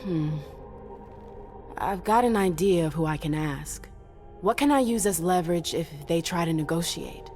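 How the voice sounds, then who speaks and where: Low-pitched, wary female agent voiced by an actress. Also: normal speed, a little mellow, soft background music, natural voice. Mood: guarded, reluctant. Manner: skeptical, guarded, questioning. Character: wary, defiant female vampire agent with a conscience. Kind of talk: dramatic video game acting.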